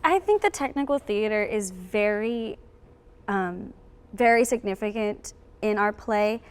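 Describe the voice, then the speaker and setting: A woman's voice: medium pitch, measured pace, smooth. A teenage young woman, a student actor, explaining things casually in an interview. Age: teens.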